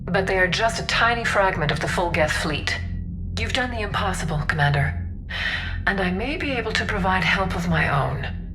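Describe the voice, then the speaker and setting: Low-toned poised alien diplomat. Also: female voice, animated, moderate pace, over music. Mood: formal, guarded. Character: poised, formal female alien diplomat, discreet councilor.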